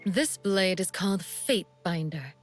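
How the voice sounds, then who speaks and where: A seductive female assassin from a video game, played by a woman, with a lowish voice and very slow, languid delivery. She is coolly amused and teasing, breathy at times, with a sadistic menace under every phrase. Music plays behind her. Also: close to an everyday voice. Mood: cool, reflective. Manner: languid, teasing, deliberate, sometimes breathy near-whisper. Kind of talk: video game character voice lines.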